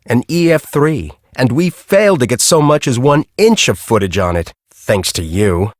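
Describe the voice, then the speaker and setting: An actor playing a grumpy, bossy middle-aged storm-chasing professor in a video game, with an American accent and a brusque, sarcastic, exasperated manner. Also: male voice, mid-range voice, animated, normal speed, dry recording, clean studio sound, close to an everyday voice. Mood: bitter, disappointed. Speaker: video game character, research team leader.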